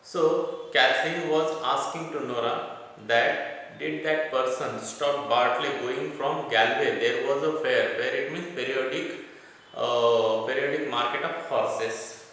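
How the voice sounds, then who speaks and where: A man with an Indian accent teaching English literature, reading a play aloud and explaining it deliberately, repeating words and phrases for emphasis. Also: mid-range voice, fairly bright tone. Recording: echoey hard-walled room, distant microphone.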